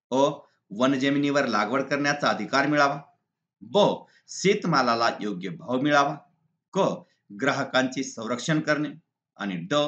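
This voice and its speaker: A man teaching in a deliberate, didactic way, reading exam answers point by point. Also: medium pitch, sharp tone. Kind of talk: exam answer walkthrough, lesson read aloud. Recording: slightly dull lo-fi recording.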